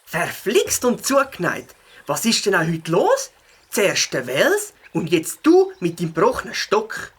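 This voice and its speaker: A woman voicing a helpful, confident young beaver with a husky voice and a Swiss German accent in a children's audio play. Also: medium pitch, wildly swooping pitch, a little slow, full character voice. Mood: encouraging.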